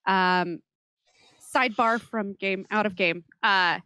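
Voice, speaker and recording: A woman's voice: mid-range voice, slow, sharp tone, clean tone, no echo, clean studio sound. A woman playing a tabletop game, talking casually with the other players.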